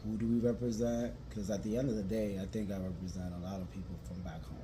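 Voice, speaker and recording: A man's voice: lowish voice, little pitch movement, fast. A man from New York, a guest dancer giving a reflective interview answer, often adding "like" and "right?". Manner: reflective, peppers speech with "like" and "right?". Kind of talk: casual interview talk. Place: dance social venue. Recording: background noise at a live event.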